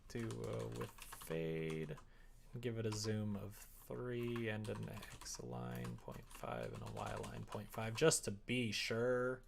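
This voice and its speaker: A man's voice: on the low side, very animated, slow pace, vocal fry, slight background noise. A man streaming casually, talking informally and muttering to himself as he works. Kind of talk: casual livestream chat while coding a visual novel.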